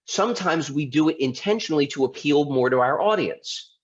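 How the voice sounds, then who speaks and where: A man's voice: fairly high pitch, lively intonation, a little fast. Chatty man lecturing, slightly muffled. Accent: Baltimore, mostly suppressed. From Baltimore, United States. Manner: conversational, with frequent restarts and rhetorical questions. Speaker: lawyer presenting a talk. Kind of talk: informal presentation. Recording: slightly dull lo-fi audio.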